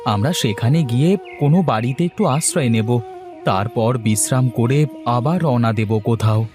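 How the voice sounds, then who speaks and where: A man's voice: medium pitch, moderate pace, over music, lightly acted. A man playing a dutiful young farmer's son in a cartoon fairy tale, earnest and coaxing. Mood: reassuring.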